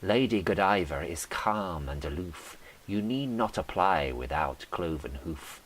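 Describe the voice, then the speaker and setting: A man with a very low voice recites poetry slowly and dramatically, each phrase measured out. A faint hiss sits behind the reading. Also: animated. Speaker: poet reading own poems.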